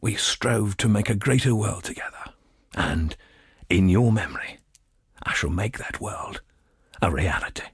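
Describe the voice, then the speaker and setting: Husky, grandiose imperial visionary. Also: male voice, lowish voice, expressive, dry recording, clean studio sound, full character voice. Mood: solemn fervor. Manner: solemn, grandiose, theatrical, deliberate phrasing. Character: grave, obsessed imperial visionary full of solemn grandeur. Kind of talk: scripted video game monologue.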